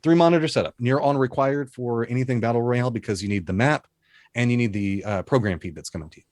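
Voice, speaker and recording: A man with a low, even voice talks through his gear in a relaxed, rambling way. He sounds close to a studio microphone in a dry, dead room at home. He is an esports caster chatting on a video call. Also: fast, no echo. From Texas. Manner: easygoing, detailed, rambling explanations. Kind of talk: casual interview chat over video call. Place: home broadcast setup room. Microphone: studio dynamic mic on video call.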